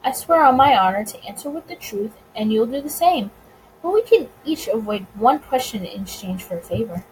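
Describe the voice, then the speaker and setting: A suave, teasing bootlegger gentleman from a visual novel, read out by a woman. The voice is fairly high and very animated, with polite, self-assured phrasing. Noise sits in the background. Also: normal speed, lightly acted, poor audio. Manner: courteous, wordy, self-assured. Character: suave, smug, teasing male gangster bootlegger of 1920s America.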